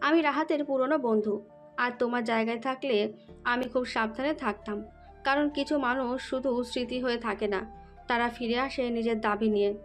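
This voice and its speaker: Woman playing high-voiced, self-assured scheming young rival. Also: fairly quick, soft background music, close to an everyday voice. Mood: pointed, insinuating.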